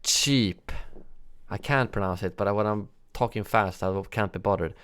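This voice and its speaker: Smooth-voiced man chatting on a livestream. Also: on the low side, moderate pace, fairly bright tone. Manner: chatty, reads and answers viewer chat; frequent fillers and self-corrections. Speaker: gaming news livestreamer. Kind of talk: casual livestream chat.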